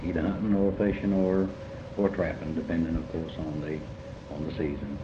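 Male writer, middle-aged, Appalachian Kentucky accent, deep and halting; interview on a roomy, noisy recording. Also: level tone. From eastern Kentucky, United States. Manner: halting, reflective, full of pauses. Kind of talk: reminiscing in an oral interview. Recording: muffled, noisy, roomy amateur tape.

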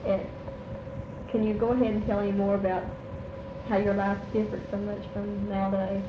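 A woman's voice: medium pitch, flat delivery, normal speed. A woman asking questions as an interviewer. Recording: muffled, noisy, echoey room recording.

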